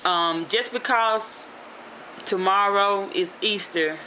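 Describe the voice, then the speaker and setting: A woman's voice: mid-range voice, slow pace, sharp tone, slight background noise, rough recording. A woman from Shreveport in North Louisiana with a Southern accent, vlogging in a casual motivational style, rambling with lots of "you know" and restarts. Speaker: YouTube vlogger.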